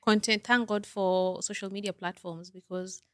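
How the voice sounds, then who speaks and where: A woman's voice: mid-range voice, moderate pace, dry recording. A young woman from Kenya, co-hosting a podcast, talking chattily and telling stories from her own life. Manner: chatty and animated, telling stories with faith remarks. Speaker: podcast co-host. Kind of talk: casual podcast chat.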